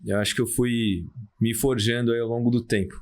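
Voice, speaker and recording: A man in his thirties with a Brazilian Portuguese accent, a podcast guest talking in a calm, halting way with many restarts. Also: mid-range voice. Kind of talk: casual interview talk.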